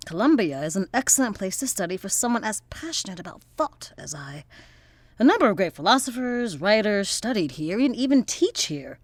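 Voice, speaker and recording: An actress playing a proud, defiant young activist scholar with articulate, formal diction in an audio drama. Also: female voice, mid-range voice, sing-song, a little fast, no room sound, clean studio sound. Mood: poised, guarded. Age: young adult.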